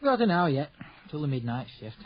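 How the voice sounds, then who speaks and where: A man's voice: on the high side, very animated, fairly quick, slight background noise. An actor playing a cheeky, eager young working man in a radio drama, irreverent and inquisitive. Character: cheeky, eager young adult son, millworker. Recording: dull old radio broadcast.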